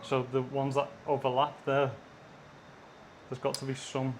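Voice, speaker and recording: Guy interviewing someone, pretty monotone, mid pitch, steady questions. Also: measured pace, slight background noise.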